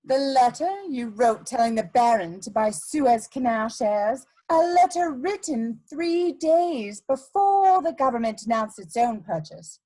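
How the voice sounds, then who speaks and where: Woman playing a high-pitched, scheming society blackmailer. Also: expressive, warm tone, poor audio. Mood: coolly menacing. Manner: theatrical, deliberate, imperious. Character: elegant scheming female blackmailer, coolly ruthless society lady. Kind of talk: dramatic reading of a period stage comedy.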